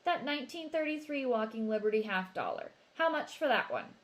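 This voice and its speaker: A woman's voice: on the high side, sing-song, a little fast. A woman reading the part of a bold, streetwise teenage brother, blunt and assertive as he haggles in a storybook. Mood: firm.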